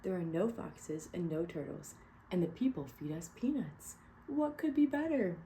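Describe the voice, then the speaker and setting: Storybook mother duck, fussy and anxious, fretful squawks; woman reader, mellow dark tone. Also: fairly low pitch, animated, moderate pace, a little hiss or hum, rough recording. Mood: pleased.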